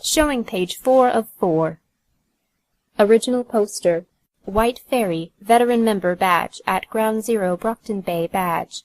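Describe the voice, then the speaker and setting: A woman's voice: medium pitch, unhurried, darkish tone, dry recording, rough recording. A woman narrating an audiobook from a script in a neutral, announcing manner.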